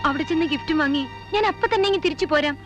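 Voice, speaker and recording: A woman's voice: high-pitched, rapid-fire, music in the background, natural voice. A young actress playing a headstrong, girlish teenage daughter in a Malayalam film drama, talking insistently. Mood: defiant.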